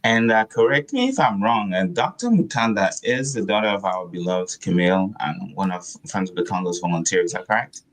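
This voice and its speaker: A man's voice: deep voice, lively intonation, brisk, few pauses, low-quality recording. A man co-hosting a live online talk in a loose, chatty way with plenty of fillers. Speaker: co-host. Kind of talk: casual live-stream hosting.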